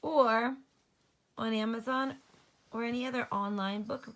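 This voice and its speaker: A woman's voice: a little high, steady tone, a little slow, a bit bright, a little hiss or hum. A woman teacher reading a children's storybook aloud, expressively, and explaining things in simple terms.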